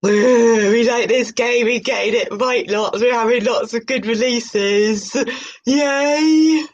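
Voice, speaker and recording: A woman's voice: fairly high pitch, slow pace. A woman hosting a video, reading aloud about tarot with playful, chatty spiritual asides. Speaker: video host. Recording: muffled, dull lo-fi sound.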